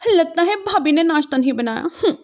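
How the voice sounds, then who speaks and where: A woman's voice: very high voice, animated, brisk, voice pushed up. A woman voicing a young working daughter-in-law who is indignant and defiant, arguing in a plaintive way. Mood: hurried, worried. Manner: plaintive, argumentative.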